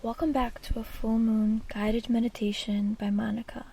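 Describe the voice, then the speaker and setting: A woman leading a guided meditation in a soft, breathy, half-whispered and soothing delivery. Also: on the high side, level tone, normal speed.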